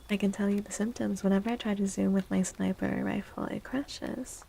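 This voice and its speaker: A soft-spoken woman hosting an ASMR livestream and reading tarot, gentle and unhurried, often dropping into a breathy half-whisper. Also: mid-range voice, slight background noise, low-quality recording. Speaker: livestream host and tarot reader.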